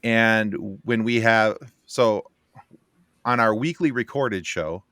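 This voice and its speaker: A man's voice: on the low side, slow pace, a bit bright, no echo, clean studio sound. A man who co-hosts a podcast, chatting casually in a joking, bantering way. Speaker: podcast co-host appearing as guest. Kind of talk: casual chat.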